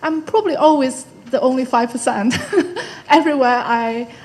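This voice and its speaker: A woman from China, answering spontaneously as a panel guest and speaking thoughtfully in short phrases with frequent pauses. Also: high voice, darkish tone. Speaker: panel guest, tech founder.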